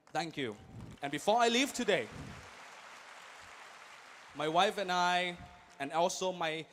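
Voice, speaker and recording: A man in his thirties giving an energetic motivational speech at a large event, English not his first language, swinging from quiet asides to loud calls to the crowd. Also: high-pitched, a little hiss or hum. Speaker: guest speaker.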